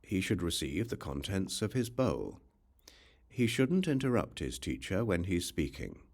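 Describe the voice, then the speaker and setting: A man narrating a scripture audiobook in a measured, instructional manner. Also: deep voice, sing-song, vocal fry, a little mellow. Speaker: audiobook reader.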